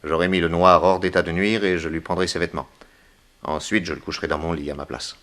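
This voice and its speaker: An actor playing a bold, wry detective with a brisk commanding manner in a 1960s French radio serial. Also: male voice, sits low, steady tone, fairly bright tone. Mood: confident, scheming.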